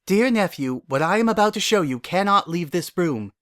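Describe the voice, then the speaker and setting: Guy doing regal princess mare, stern n formal, higher voice, storybook. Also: lively intonation, normal speed, fairly bright tone, no room sound, clean studio sound. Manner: formal, grave. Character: regal princess pony aunt, stern, commanding. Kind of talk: dramatic fan fiction reading.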